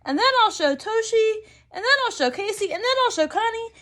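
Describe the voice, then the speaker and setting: A woman voicing an excited, chattering little girl from a picture book, in an eager singsong. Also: very high voice, brisk, pitched above the performer's own voice.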